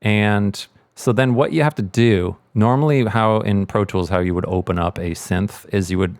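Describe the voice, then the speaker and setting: A man's voice: on the low side, normal speed, darkish tone, no echo. A man explaining sound design in a casual, informal tutorial, thinking aloud as he goes.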